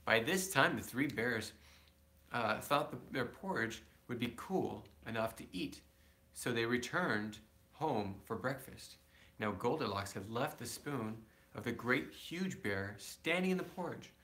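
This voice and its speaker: A man reading a children's story aloud as a father would, casually and haltingly, correcting himself as he goes. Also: medium pitch, lively intonation, pushed and bright.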